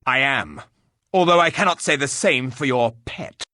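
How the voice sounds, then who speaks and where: A man voicing a stern, humorless, gruff hired warrior in a video game, with a husky voice and a curt, scornful way of speaking. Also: mid-range voice, animated, normal speed, bright, forceful tone, no room sound, studio quality. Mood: dry.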